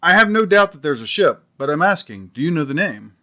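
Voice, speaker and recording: A man's voice: on the high side, sing-song, fairly quick, sharp tone, full character voice. A man playing an earnest, literal-minded detective dad who acts and narrates in an audio comedy. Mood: baffled, insistent. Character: wisecracking, easily confused detective dad.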